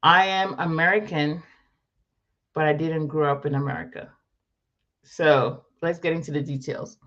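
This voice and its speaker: A woman's voice: deep voice, steady tone, unhurried, fairly bright tone, highs cut off. A woman in her thirties, from Morocco, answering viewer questions in a casual live Q&A, thinking aloud, repeating herself and correcting as she goes. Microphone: live-stream setup.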